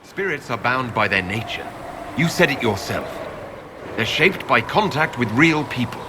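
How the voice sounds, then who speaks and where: An actor playing a probing, inquisitive adventurer in video game dialogue. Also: male voice, medium pitch, very animated, normal speed, noisy background, lightly acted.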